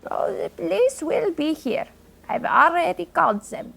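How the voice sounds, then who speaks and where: A woman playing a prim, indignant rooming-house landlady who scolds and hushes in a comedic radio-style audio drama. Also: very high voice, big pitch swings, unhurried, creaky, heavily acted. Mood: scolding. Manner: fussy, scolding, hushing.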